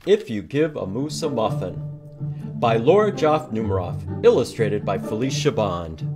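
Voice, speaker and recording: A man hosting a children's storytime, reading aloud and talking in simple, deliberate phrases for young listeners. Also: mid-range voice, very animated, over music. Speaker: library storytime host leading songs and reading aloud.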